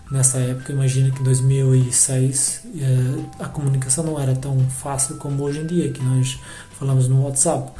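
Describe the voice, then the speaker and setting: A Brazilian man in his thirties with an accent turning partly European Portuguese, telling his emigrant story slowly with many pauses and repeated words. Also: mid-range voice, mellow. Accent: Brazilian Portuguese with some European Portuguese. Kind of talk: reflective personal testimony. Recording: echoey room with faint music.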